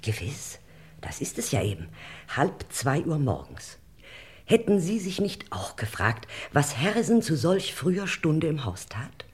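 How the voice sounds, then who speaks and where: Genteel lady confessing in vintage radio mystery; husky, melodramatic, swooping intonation. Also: low-pitched, big pitch swings, measured pace, a little mellow, exaggerated voice. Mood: solemn, confiding. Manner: theatrical, old-fashioned florid speech. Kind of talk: vintage German radio crime drama acting.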